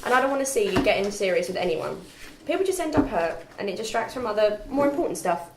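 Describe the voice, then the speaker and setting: Woman acting a brash student flatmate, echoey room. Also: medium pitch, fast, gravelly. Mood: blunt, advising. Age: young adult. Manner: bossy, chummy, blunt. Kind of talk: script table read. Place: indoor room at a group read-through. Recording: echoey room, background noise.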